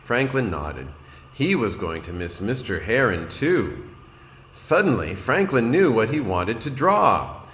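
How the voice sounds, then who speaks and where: Man, kindergarten teacher, storybook read-aloud, very animated intonation, echoey room. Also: medium pitch. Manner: expressive, deliberate, addresses young listeners with questions. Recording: roomy echo, noticeable background noise.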